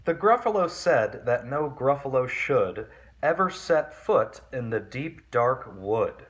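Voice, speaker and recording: A man reading a children's picture book aloud in an expressive narrating style. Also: mid-range voice, slow pace, breathy edge. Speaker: storybook reader.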